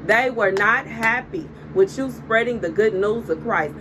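A woman in her thirties with a Southern US accent, speaking a casual prophetic message on her channel, emphatic and repetitive with animated gestures, recorded on a phone camera at home. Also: a little high, unhurried. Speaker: prophetic video host. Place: indoor room before window blinds. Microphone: phone camera on a desk. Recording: clear room noise behind.

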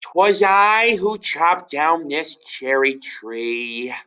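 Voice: mid-range voice, animated, very slow, sharp tone, clean tone, pitched above the performer's own voice, exaggerated voice. A narrator doing a cheeky, eager colonial boy.

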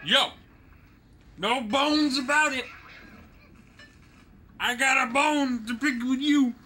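Man, game commentary co-host, teasing, animated, very bright tone, wide swings in pitch. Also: a little hiss or hum. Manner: teasing, animated, exclaims and laughs often. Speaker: co-host commentating a let's play.

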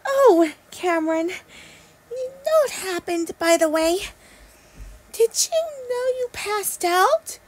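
A woman's voice: extremely high pitch, lively intonation, slow pace, heavily acted. A woman voicing a breezy cartoon girl who talks with singsong, falsely apologetic innocence. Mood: breezy, mock-innocent. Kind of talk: amateur character voice lines.

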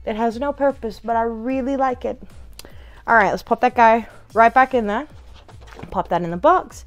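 A woman's voice: fairly high pitch, moderate pace, faint music behind. A young woman chatting casually to camera during an unboxing, with a playful, bubbly manner and sing-song asides, recorded in a living room. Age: young adult. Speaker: product reviewer.

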